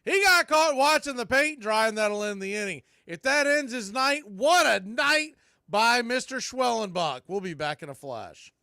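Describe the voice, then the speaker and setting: A man calling live baseball play-by-play in punchy short phrases, getting excited on big plays. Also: very high-pitched, normal speed, vocal fry, dry recording, clean studio sound.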